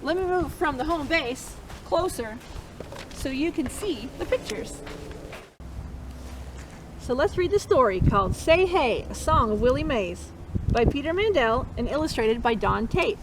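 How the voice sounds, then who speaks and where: Woman, high voice, interactive picture-book read-aloud for kids, encouraging; slightly noisy recording. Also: lively intonation, unhurried. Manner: encouraging, chanting refrains for listeners to repeat. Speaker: storytime host reading a picture book to children.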